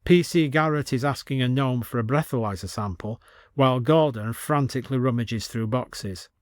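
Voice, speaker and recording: A man's voice: mid-range voice, normal speed, no room sound, studio quality. A man reading scripted comic fiction as an audiobook narrator.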